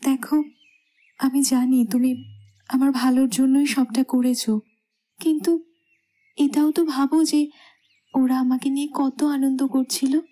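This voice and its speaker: Woman acting bashful young bride, slow n soft pouty delivery, high voice, romantic audio story. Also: fairly even intonation. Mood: pleading. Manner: coy, hesitant, softly pouting. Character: shy, anxious young bride, gently protesting and pouting.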